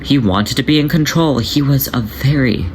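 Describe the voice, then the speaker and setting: A man doing a gentle protective tomcat. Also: mid-range voice, moderate pace, slight background noise, close to an everyday voice. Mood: grave. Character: protective older male cat, gentle but grave.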